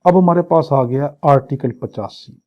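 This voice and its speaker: A man's voice: medium pitch, a little slow, highs cut off. A Pakistani man lecturing for exam students in a didactic way, explaining clauses and posing questions that he answers himself. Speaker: law lecturer. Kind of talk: exam-prep lecture, reading English clauses and explaining them.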